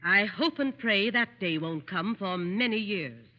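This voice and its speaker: A woman's voice: medium pitch, lively intonation, measured pace, lightly acted. An actress playing a clinging, fretful mother of a grown son, plaintive and emphatic, in old-time radio drama style. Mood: stiff, disapproving. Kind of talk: 1930s-40s radio drama acting. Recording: noisy, muffled vintage radio broadcast.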